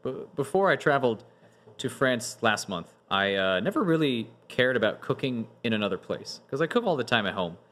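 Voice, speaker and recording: A man talking casually as a podcast guest, animated, telling stories and making analogies. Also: medium pitch, a little fast, slight background noise. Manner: animated storyteller, uses analogies.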